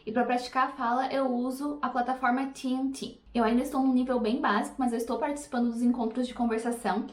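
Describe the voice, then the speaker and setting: A woman's voice: on the high side, fairly even intonation, quick pace, hardly any pauses. A young adult woman, casually explaining her study apps step by step as host. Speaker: language-learning host. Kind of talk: casual screen-share tutorial.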